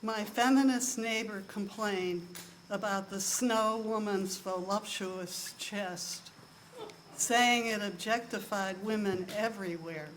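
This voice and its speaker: Woman, soft voice, deadpan stand-up monologue, unhurried timestamped beats, mid-range pitch. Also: slight background noise, poor audio. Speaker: monologue performer. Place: live show in a room.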